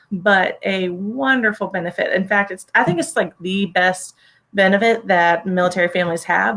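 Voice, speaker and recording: A woman from Virginia, a loan officer guest, explaining things clearly in a friendly way. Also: mid-range voice, a bit bright. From Virginia, USA. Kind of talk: explanatory interview talk.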